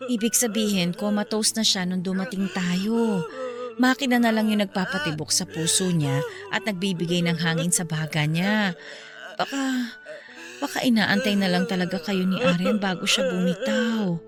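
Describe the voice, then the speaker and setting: A woman acting a gentle, sorrowful middle-aged friend who soothes and pleads in a Filipino radio drama. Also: mid-range voice, normal speed, natural voice. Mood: gentle concern. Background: music.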